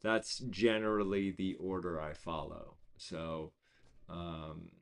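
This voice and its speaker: A man hosting a casual livestream, rambling with many fillers and restarts, his voice gone hoarse. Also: low-pitched, flat delivery, slow pace. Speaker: host of an online reading series.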